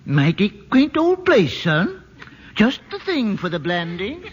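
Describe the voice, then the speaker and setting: An actor playing a shrewd old country real estate man who talks in a slow, folksy drawl, in 1940s radio acting. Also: high voice, wildly swooping pitch, breathy edge, dull audio, faint music behind, full character voice. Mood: sly. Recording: old radio broadcast with long reverb.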